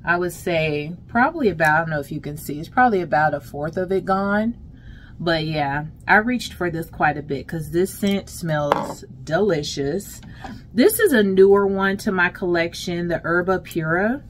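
A middle-aged American woman chatting casually and emphatically to her viewers as a lifestyle vlogger, in an unhurried way. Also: fairly low pitch, lively intonation. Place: closet at home. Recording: clear background noise, home vlog.

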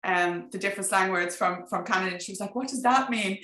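A woman's voice: mid-range voice, fast. A woman in her thirties with a strong Dublin Irish accent, chatting animatedly as a guest on a video call. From Ireland. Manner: chatty and animated, gestures, frequent "you know". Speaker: guest, business coach. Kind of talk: casual video-call interview. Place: home office, indoors. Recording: echoey room.

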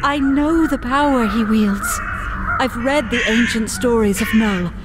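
A woman's voice: fairly high pitch, unhurried, heavy background noise, natural voice. A woman voicing an earnest young goddess who pleads and urges her sister onward. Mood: urgent. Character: earnest young goddess, granddaughter of the thunder god. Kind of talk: motion comic voice acting. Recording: backed by loud music.

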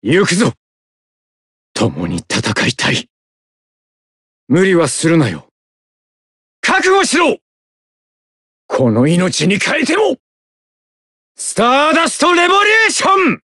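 Stern, noble warrior with forceful cries. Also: male voice, high voice, huge pitch swings, takes it slowly, pauses often, husky, no echo, clean studio sound, full character voice. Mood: resolute, stern. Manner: earnest, commanding, shouted attack names. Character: noble, resolute golden-armored warrior, stern protector. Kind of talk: anime battle game voice lines.

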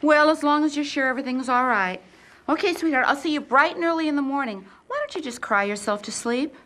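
A woman's voice: high-pitched, quick pace, fairly bright tone. Woman doing a sugary, snide mentor. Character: catty, sharp-tongued female mentor.

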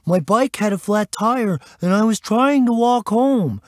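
Male reader as a teenage boy, higher voice, slow, put-upon, exasperated, audiobook. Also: lively intonation, no room sound, clean studio sound. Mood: matter-of-fact. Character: tall teenage boy, exasperated and matter-of-fact. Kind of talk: audiobook character acting.

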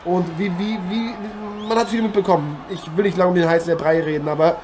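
A man playing an eager, chatty boxing commentator and interviewer in an improvised radio play, polite and halting. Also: high-pitched, a little mellow, natural voice. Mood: earnest, curious. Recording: noisy, with arena ambience behind.

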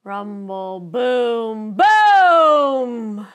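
A middle-aged woman reading a children's picture book aloud to preschoolers in an expressive, deliberate storytelling manner. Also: fairly high pitch, clear, resonant tone. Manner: animated, deliberate storytelling with dramatized sound words.

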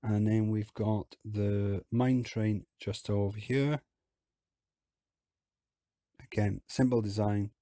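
A man with a hoarse, croaky voice from illness, chatting casually as he talks through a theme park game. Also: lowish voice, slow pace, long pauses, dry recording, clean studio sound. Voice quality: husky, croaky. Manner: relaxed, chatty, explains as he plays. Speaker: game video host.

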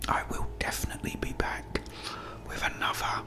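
Whispering British man doing ASMR gameplay. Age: middle-aged. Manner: hushed, slow running commentary. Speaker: video game streamer.

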